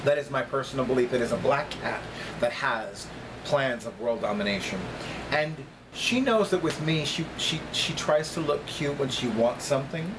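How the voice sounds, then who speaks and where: A middle-aged man talking casually to camera as a vlogger, with deliberate, emphatic phrasing and frequent repetition. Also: mid-range voice, noisy background. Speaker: vlogger, psychic reader. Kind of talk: casual chatty monologue to camera. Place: small apartment room by a kitchen, indoors.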